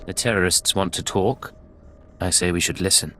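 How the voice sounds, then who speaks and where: A man's voice: low-pitched, steady tone, normal speed, bright, forceful tone, slight background noise. An actor playing a composed, confident male secret agent in a sci-fi game, speaking tersely and dutifully. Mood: cool, attentive. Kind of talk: video game voice acting.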